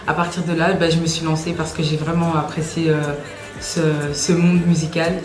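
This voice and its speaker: A woman's voice: low voice, normal speed, soft background music. Young woman, casual, echoey room. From Belgium, Brussels. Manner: relaxed, slangy, with frequent hesitations. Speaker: singer interviewed about her music. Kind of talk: casual interview answers.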